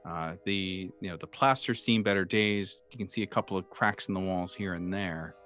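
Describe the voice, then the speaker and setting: Real deep guy game mastering a horror rpg, halting descriptions, bit of music behind. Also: muffled recording. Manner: halting, hesitant descriptive delivery. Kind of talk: improvised narration of a horror tabletop game. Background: soft background music.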